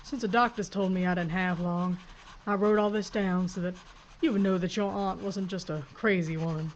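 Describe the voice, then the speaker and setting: A woman's voice: on the low side, steady tone, dull audio, a little hiss or hum, lightly acted. A woman playing a tough frontier sheriff. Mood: reflective. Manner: brisk and commanding, orders in short lines. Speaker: narrator. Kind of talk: audio drama acting.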